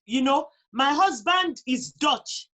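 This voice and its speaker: Female interview guest, Nigerian accent, high voice, conversational yet emphatic delivery. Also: expressive, slow pace, fairly bright tone. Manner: emphatic, repeats phrases for emphasis. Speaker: guest on a talk show. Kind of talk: conversational interview, personal advice.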